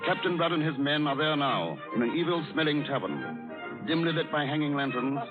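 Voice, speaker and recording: A man's voice: a little high, expressive, fairly quick. A man narrating an old radio drama in a dramatic, scripted style. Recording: tinny old radio, loud music behind.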